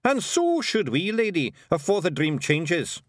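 A man voicing a scrappy, boastful tiny male pixie chieftain with a gruff Scottish brogue and cheeky manner. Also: sits high, huge pitch swings, measured pace, no room sound, studio quality, voice pushed up, heavily acted.

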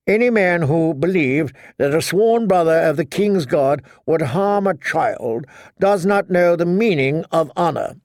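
A man's voice: on the high side, expressive, a little slow, darkish tone, dry recording, studio quality, pitched above the performer's own voice. A man voicing a timid, ingratiating noble knight who pleads and placates, in an audiobook. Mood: anxious, placating. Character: timid, fawning noble cousin, a male knight.

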